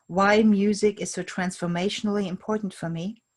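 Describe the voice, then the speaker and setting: A woman's voice: medium pitch, steady tone, normal speed. A woman leading a tapping session, calling out tapping points and giving short phrases for someone to repeat. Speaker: EFT tapping practitioner.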